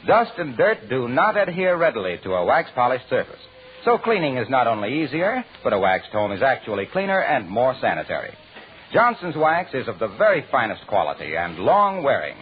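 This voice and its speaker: A man's voice: mid-range voice, very animated, a little fast. An American man announcing in scripted 1940s radio style, with a polished, emphatic salesman's delivery. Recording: narrow-band old radio recording.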